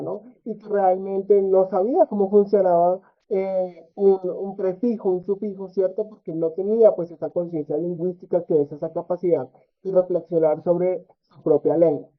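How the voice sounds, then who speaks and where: High-voiced man presenting over tinny audio. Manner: halting, many filler sounds and tag questions. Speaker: conference presenter. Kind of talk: academic research presentation.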